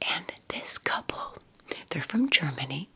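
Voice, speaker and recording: A woman whispering casual ASMR recommendations in a breathy, husky voice, with an unhurried, affectionate manner. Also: low voice, sing-song, bright, forceful tone. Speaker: ASMR content creator.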